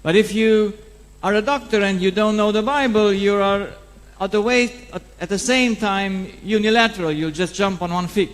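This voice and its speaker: A middle-aged man gives a religious talk in short, halting phrases of speech, pausing for an interpreter. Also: high voice, steady tone. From Romania. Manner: halting, non-native phrasing. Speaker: guest speaker, doctor and lay preacher. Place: large church hall. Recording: long hall reverb.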